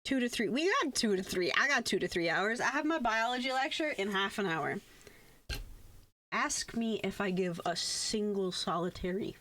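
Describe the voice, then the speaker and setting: A young adult woman chatting casually on a livestream, rambling in an animated way and swearing freely. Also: mid-range voice, moderate pace. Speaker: VTuber live streamer. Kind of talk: casual chat on a game livestream.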